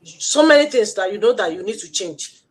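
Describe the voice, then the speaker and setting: A Nigerian woman hosting an online livestream, giving a motivational pitch with emphatic, repetitive, preacher-like exhortation. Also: expressive, normal speed. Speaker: host of an online business promotion livestream.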